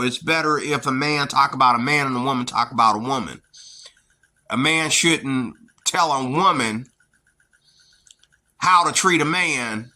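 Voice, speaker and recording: Forceful, preachy American man. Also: on the high side. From United States. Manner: preachy and blunt, scolding with emphatic repetition. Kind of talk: heated opinion monologue.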